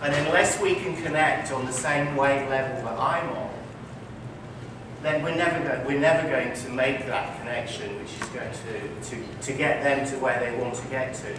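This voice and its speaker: Male physician, emphatic and articulate, medium pitch, echoey hall, distant mic, background noise. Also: normal speed. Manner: articulate, emphatic, repetitive stress on points. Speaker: physician, interview guest. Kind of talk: conference stage interview. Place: conference hall before an audience.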